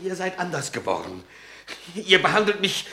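A man's voice: high-pitched, sing-song, normal speed, exaggerated voice. An actor playing a frightened, suspicious young man who fears betrayal by his friends in a radio play. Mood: anxious. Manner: anxious, suspicious, rising to shouting. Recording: roomy echo.

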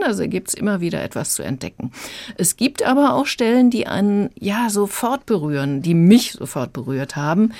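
A woman's voice: on the low side, darkish tone, no room sound. A woman working as a radio critic, explaining her impressions of audiobooks in a studio conversation.

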